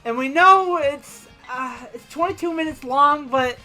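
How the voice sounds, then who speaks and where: A man's voice: extremely high pitch, a little slow, sharp tone, soft background music, poor audio. A man co-hosting a casual chat show, rambling with restarts and frequent laughs. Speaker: podcast co-host.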